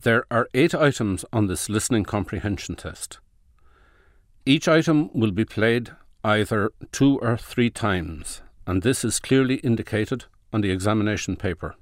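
A man reading scripted exam instructions in a formal, measured way. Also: lowish voice, expressive, no echo, clean studio sound. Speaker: exam announcer.

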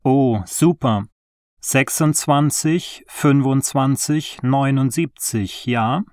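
Brief: man, exam dialogue voice, slow and careful, dry studio sound. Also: mid-range voice, darkish tone, no room sound. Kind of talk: scripted language-exam dialogue.

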